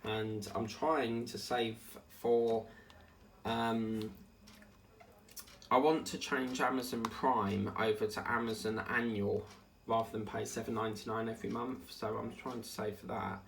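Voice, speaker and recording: A man's voice: fairly low pitch, steady tone, measured pace, gravelly, a bit bright, slight background noise, rough recording. A man with an Essex accent tinged with South African, chatting in a rambling way about his day as he works at his craft table. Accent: Essex English with a South African tinge. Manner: chatty, digressive, hums and sings to himself. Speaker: crafting channel host. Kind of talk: rambling casual chat while working.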